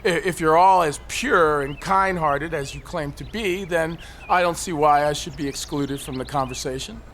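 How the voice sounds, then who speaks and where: A man's voice: fairly high pitch, moderate pace, a bit bright, lightly acted. An actor playing a cynical, suicidal man on a ledge in an audio drama, sarcastic, articulate and needling. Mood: probing, challenging. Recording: faint background noise.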